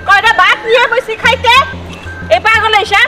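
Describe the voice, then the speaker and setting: An actress playing a scolding, complaining village aunt in a comic short drama. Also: female voice, extremely high pitch, fairly even intonation, moderate pace. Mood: aggrieved complaining. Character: scolding village aunt, quick-tempered and hard-worked. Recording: loud music and crowd behind, outdoors.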